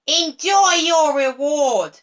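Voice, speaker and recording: A shrill, greedy old witch from a storybook, barking orders and stretching her words out slowly, as a woman puts her on. Her voice sits high and bright. The recording is dull and lo-fi. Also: expressive, full character voice. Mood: gloating. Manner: shrill and commanding, with drawn-out words. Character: wicked cackling old female witch, greedy and scornful. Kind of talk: storybook reading.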